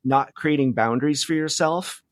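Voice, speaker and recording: A man's voice: on the high side, moderate pace, dry recording, clean studio sound. A man speaking as an interview guest in a casual, reflective conversation, thinking aloud in an informal, hesitant way with plenty of filler words. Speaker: podcast guest, journalist and media founder.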